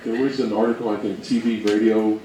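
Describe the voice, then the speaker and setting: A man's voice: on the low side, fairly even intonation, a little fast, mellow. A man giving a casual presentation and fielding questions from an audience. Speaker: presenter at a fan convention talk. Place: roomy indoor presentation space with audience. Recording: echoey room, distant mic, audience noise.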